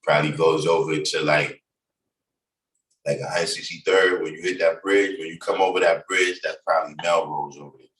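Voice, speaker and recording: A man's voice: rumbling low, steady tone, moderate pace, a bit bright. A middle-aged man from the Bronx with a New York accent, talking loosely on his livestream with lots of fillers. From New York City, Bronx. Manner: loose, conversational, many fillers. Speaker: livestream host. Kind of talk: casual livestream talk. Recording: echoey room, distant from mic.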